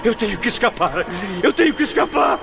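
An actor in a radio drama playing an anguished blinded man trapped in torment, theatrical, halting and desperate. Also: very high-pitched, sing-song, creaky, heavily acted. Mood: despairing. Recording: dramatic music under the voice.

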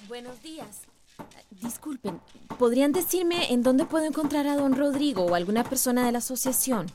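A woman's voice: sits high, expressive, normal speed. An actress with a Costa Rican accent playing a polite, composed young schoolteacher in her twenties. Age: 20s. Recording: hissy old radio drama tape.

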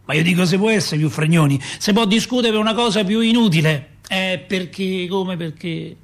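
An actor playing a talkative middle-aged working-class Roman father, a doorkeeper, in an expressive, rambling radio-play monologue with a Roman accent and a husky voice. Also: sits high, moderate pace, close to an everyday voice. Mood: exasperated. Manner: expressive, rambling, repeats himself and asks rhetorical questions. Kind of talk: theatrical radio monologue, colloquial storytelling.

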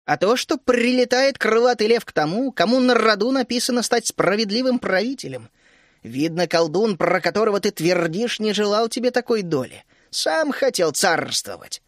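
Fierce gnome matron, loud and scolding, a male narrator putting her on; high, dry read. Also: lively intonation, normal speed, no echo. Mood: thoughtful. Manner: shouting, scolding, indignant. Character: stern bossy female gnome housewife, fiery.

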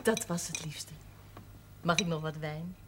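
An actress playing a cheerful, gentle young married daughter in a naturalistic television drama. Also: female voice, low voice, measured pace. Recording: noisy 1970s television soundtrack with clinking tableware.

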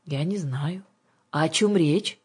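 A woman's voice: sits low, takes it slowly, a little mellow, slightly rough, full character voice. Audiobook lady played by a female reader: polite, cool, slightly bored, matter-of-fact, music behind. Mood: calm, casual.

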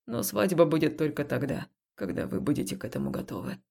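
A woman voicing a reserved, awkward male director in an audiobook, speaking in a hoarse, croaking near-whisper with a hesitant, earnest manner. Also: deep voice, very animated, quick pace, mellow, pitched below the performer's own voice, heavily acted. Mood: subdued, earnest. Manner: hesitant, subdued. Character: grown man, reserved director, awkward and earnest love interest. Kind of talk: audiobook character dialogue.

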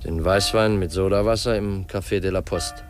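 A man's voice: low-pitched, fairly even intonation, moderate pace, faint music behind, natural voice. An actor playing an earnest, polite young man investigating a family death in a 1950s German radio drama, reflective and restrained. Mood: polite, probing. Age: young adult.

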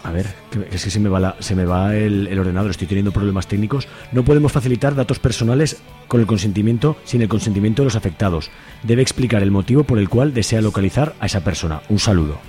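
Man playing a mellow young Spanish protester. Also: on the low side, fast talker, faint music behind, lightly acted. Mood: hesitant, formal. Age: young adult. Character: Pablo, young male protester, casual, bit jealous. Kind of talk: amateur radio drama acting.

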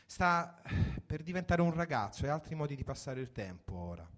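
A man's voice: a little high, big pitch swings, fairly quick, fairly bright tone. A man reading a first-person story for radio in a reflective, wry manner, in short sentences. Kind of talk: dramatic literary reading for radio.

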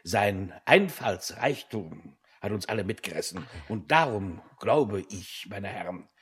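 An actor playing a pompous, self-satisfied general director giving a declamatory boardroom speech in a radio play, husky-voiced. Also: male voice, on the low side, animated, unhurried, exaggerated voice. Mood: jovially grandiose.

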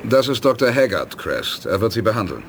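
An actor in a 1980s German radio play playing a steady, resolute spaceship commander with a sober, authoritative manner. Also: male voice, lowish voice, brisk, noisy background. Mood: composed.

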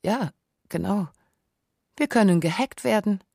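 A woman giving a dramatic reading of a literary radio essay, measured, pensive and full of rhetorical emphasis. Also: mid-range voice, creaky, warm tone, no room sound, studio quality. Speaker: radio play performer.

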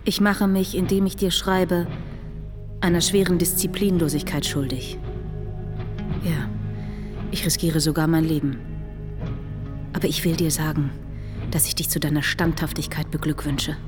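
A woman's voice: low voice, steady tone, moderate pace, long pauses, over music, natural voice. An actress playing a stern, solemn veteran communist agent voicing her letter in a radio drama. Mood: solemn, secretive.

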